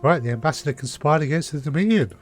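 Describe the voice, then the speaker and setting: A man giving casual, informal commentary as he streams a game, chatty and unhurried. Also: a little high, lively intonation. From United Kingdom. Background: faint game music.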